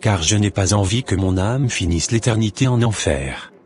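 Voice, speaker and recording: Very deep-voiced, earnest father played by a man. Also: normal speed, darkish tone, faint music behind, close to an everyday voice. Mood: earnest, moved. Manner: earnest, solemn. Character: repentant, earnest father, a humble churchgoing working man.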